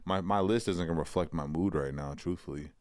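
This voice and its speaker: A man's voice: low-pitched, brisk, a little mellow, no echo, studio quality. A young man co-hosting a podcast in casual, profane banter, laid-back and often trailing off. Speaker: podcast co-host.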